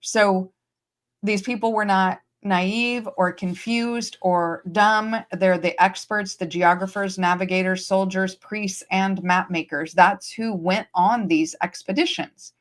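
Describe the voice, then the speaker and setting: A woman's voice: mid-range voice, fairly even intonation, slow pace. Emphatic woman hosting a livestream. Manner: emphatic, explanatory, repeats key points. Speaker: host. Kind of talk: livestream discussion. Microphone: streaming setup.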